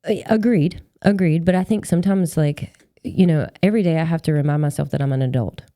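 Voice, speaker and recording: A woman's voice: deep voice, fast talker, mellow, no echo, clean studio sound. A woman in her forties talking casually as a podcast host, in a chatty, rambling and informal way. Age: 40s. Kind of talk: casual conversational interview.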